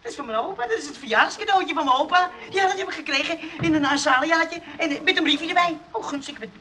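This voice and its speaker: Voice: high-pitched, fast, sharp tone. An actor playing a cheeky, loyal, outspoken and sentimental youngster in a Dutch radio comedy, blunt and folksy. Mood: touched. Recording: faintly noisy radio play recording.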